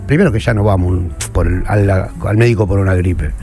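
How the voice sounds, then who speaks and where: A man's voice: deep voice, fairly quick, dark tone, faint music behind. A man with a Rioplatense Argentine accent chatting casually as a radio host, colloquial and emphatic, repeating himself for stress.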